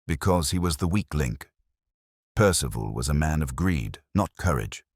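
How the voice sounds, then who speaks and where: Man voicing very deep, theatrical Victorian detective. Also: animated, normal speed, vocal fry, breathy edge, dry recording, studio quality, heavily acted. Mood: composed, lecturing. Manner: theatrical, precise, declamatory. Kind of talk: audiobook detective story dialogue.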